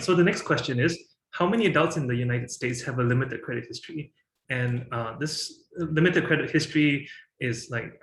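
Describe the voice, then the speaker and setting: A man's voice: mid-range voice, a bit bright, reverberant, slight background noise, rough recording. A man from Singapore in his thirties, giving a presentation and talking with many fillers and restarts. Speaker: guest speaker.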